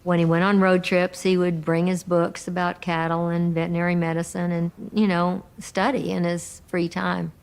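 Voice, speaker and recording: A woman's voice: lowish voice, level tone, moderate pace, hardly any pauses. A woman recalling someone's career in an interview style. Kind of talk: interview recollection. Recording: clearly noisy clip.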